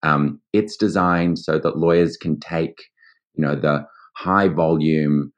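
Deep-voiced Australian man explaining. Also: fairly even intonation, slow, dull audio. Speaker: legal tech founder, guest. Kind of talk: podcast interview answers.

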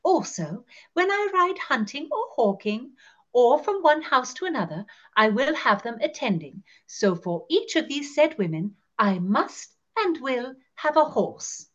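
A woman playing a haughty, playful Tudor gentlewoman who speaks in an arch, theatrical courtly manner for a podcast. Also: sits high, sing-song, unhurried, highs cut off, heavily acted. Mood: imperious. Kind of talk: in-character podcast monologue.

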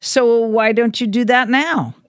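A woman hosting a call-in radio show, chatting gently and playfully with a caller. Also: fairly high pitch, unhurried. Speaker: radio host. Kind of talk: late-night radio call-in chat. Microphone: studio mic.

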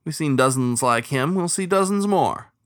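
A man's voice: fairly high pitch, expressive, normal speed, no echo, clean studio sound, voice pushed up, natural voice. A man doing a lazy otter captain. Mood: easygoing. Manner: lazy, easygoing drawl. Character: laid-back male otter riverboat captain.

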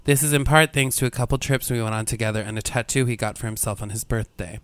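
A man in his late twenties with a smooth, clear voice at an ordinary pitch, chatting and poking fun at himself, then reading a listener letter in an even tone. The sound is dry and close-miked. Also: fast talker, dry recording. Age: late 20s. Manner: chatty, self-mocking, reads aloud evenly. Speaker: podcast co-host.

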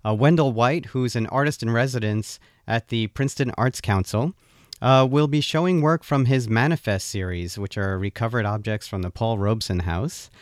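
A man hosting an interview podcast, talking casually and pausing often on fillers. His voice sits at a middle pitch. The sound is dry and close. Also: no echo. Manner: conversational, halting with fillers. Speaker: podcast host.

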